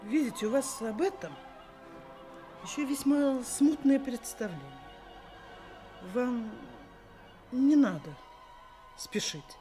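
Slow, very expressive, probing middle-aged mother. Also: fairly high pitch. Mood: knowing, condescending. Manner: theatrical, insinuating, deliberate. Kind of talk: theatrical radio play acting. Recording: 1980s radio play with faint music.

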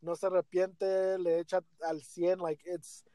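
A man's voice: high-pitched, little pitch movement, normal speed, a crowd in the background. A man chatting as an interview guest, casual and filler-heavy, saying "like" and "man" a lot. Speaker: guest, friend helping the business.

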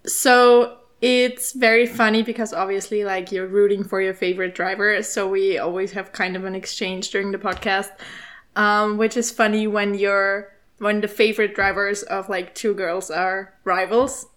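A woman's voice: mid-range voice, level tone, moderate pace, fairly bright tone. A woman in her twenties from Austria, chatting casually as a guest in an interview, with many fillers and frequent laughs. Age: 20s. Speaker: podcast co-host, guest.

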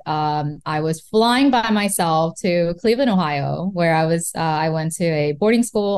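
A woman's voice: lowish voice, darkish tone. A woman in her thirties from South Korea, chatting casually as an interview guest and often tagging "right?" onto her points. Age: 30s. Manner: chatty, many fillers like "right?" and "you know". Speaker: guest, real estate investor.

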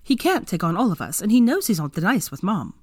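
Woman voicing a feisty scoffing young woman, raised pitch. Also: sing-song, fast, slightly rough, no echo, clean studio sound. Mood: scornful. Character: scornful, feisty young woman, defiantly confident.

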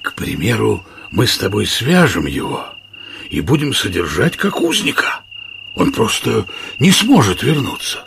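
An actor playing a loyal, determined giant outlaw in a radio play, speaking earnestly and emphatically. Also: male voice, lowish voice, huge pitch swings, a little slow, vocal fry, noisy background, exaggerated voice. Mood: conspiratorial, resolute.

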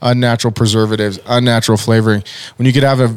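A man in his forties hosting a casual podcast, talking loudly and energetically, joking and using fillers. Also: mid-range voice, flat delivery, fast talker, smooth, dry recording. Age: 40s. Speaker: podcast host. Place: podcast studio. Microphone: studio mic with headphones.

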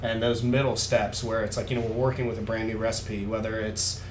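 Earnest brewer in an echoey taproom. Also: male voice, on the low side, level tone, fairly quick. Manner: earnest, laughs easily. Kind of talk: casual interview answers. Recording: echoey room with background noise.

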